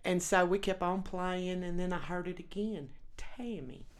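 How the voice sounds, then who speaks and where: Woman, Texas accent, lowish voice, guest minister, earnest testimony. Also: a little slow. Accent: Texan. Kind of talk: personal testimony.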